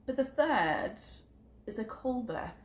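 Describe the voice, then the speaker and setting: A woman's voice: on the high side, animated, slow, slight background noise. A woman around thirty, a psychic content creator, introducing herself in a chatty, earnest vlog and telling stories about her family and spirituality, with frequent ums. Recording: roomy echo with faint background ambience.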